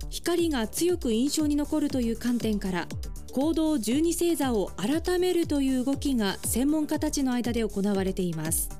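A woman's voice: high-pitched, dark tone. A woman playing a composed, serious newscaster, reading a bulletin formally within a radio drama.

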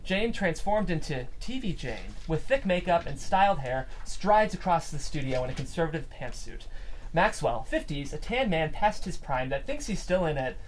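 A man from the US Midwest hosts and narrates a screenplay at a table read. His delivery is very animated, with pitch that swings up and down. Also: normal speed, slight background noise. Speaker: host and stage-direction narrator.

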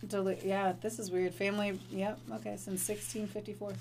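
A woman's voice: medium pitch, level tone, fairly quick, noisy background. A young woman co-hosting a casual podcast, joking and teasing her friend and swearing freely. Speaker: podcast co-host. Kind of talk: casual chat.

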